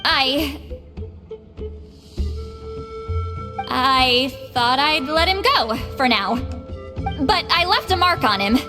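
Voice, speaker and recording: A woman's voice: high-pitched, expressive, slow, in a large hall, over music. A woman voicing a cocky, prickly female shaman in an anime-style video game, with a bossy, smug and easily flustered manner. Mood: covering up. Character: cocky, prickly young-sounding female shaman called Granny.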